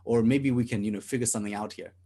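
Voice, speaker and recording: A man's voice: mid-range voice, steady tone, fast talker, mellow. A young man from South Africa, co-hosting a podcast and talking earnestly in long, rambling stretches with many restarts. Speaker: podcast co-host. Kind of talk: casual podcast discussion.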